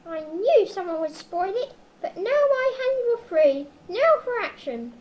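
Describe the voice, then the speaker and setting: Casting: boy as plucky, melodramatic radio-comedy hero, extremely high swooping voice, always in a hurry, hissy home tape. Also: big pitch swings, a little slow, muffled recording, exaggerated voice. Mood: melodramatic haste. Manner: urgent, melodramatic. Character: excitable, plucky comic male hero in a hurry. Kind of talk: amateur comedy radio play reading. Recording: noisy old home cassette recording.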